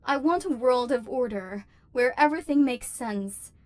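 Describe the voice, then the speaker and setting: Robot overlord played by a woman: female, fairly high voice, stiffly formal, embittered yet secretly tender, for ASMR roleplay. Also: lively intonation, moderate pace, no room sound, lightly acted. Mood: flustered, defiant. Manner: formal, precise, stilted diction with wounded feeling. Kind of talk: scripted ASMR roleplay acting.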